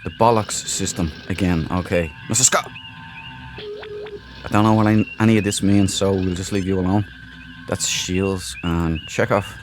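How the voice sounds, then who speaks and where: A low-voiced man hosts a gaming video with casual commentary. He rambles informally through his explanations, and game music plays clearly behind him. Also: fairly even intonation, moderate pace. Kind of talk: casual let's play commentary.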